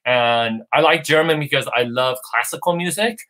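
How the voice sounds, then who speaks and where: Californian man on a video-call livestream, bright and forward tone, eager and rambling. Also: mid-range voice, moderate pace. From United States, California. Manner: rambling, enthusiastic, many restarts. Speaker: guest. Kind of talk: casual livestream chat.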